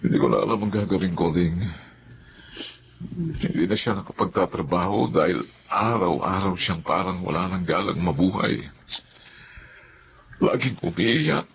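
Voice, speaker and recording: A man's voice: low-pitched, vocal fry, a little hiss or hum. An actor playing a burdened, grieving father, speaking emotionally and heavily in radio melodrama style. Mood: weary grief. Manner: heavy, emotional, halting delivery. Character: grieving father, burdened, pleading with his wife to accept loss. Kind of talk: Filipino radio melodrama acting.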